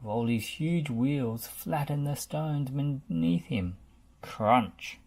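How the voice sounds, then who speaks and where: A man reading a children's storybook aloud in an expressive narrating style. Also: mid-range voice, takes it slowly. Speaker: storybook reader.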